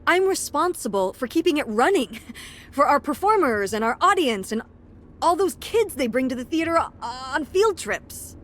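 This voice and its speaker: A woman's voice: high-pitched, big pitch swings, normal speed, fairly bright tone. A woman playing a weary, exasperated middle-aged mother in a video game, speaking naturalistically and defensively, with some hesitation. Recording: clear background ambience noise.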